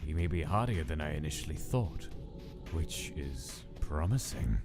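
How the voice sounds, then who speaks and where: A man voicing a cold, clinical male scientist who dictates experiment logs in a detached, measured way. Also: extremely low pitch, animated, music in the background. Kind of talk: fan comic dub narration.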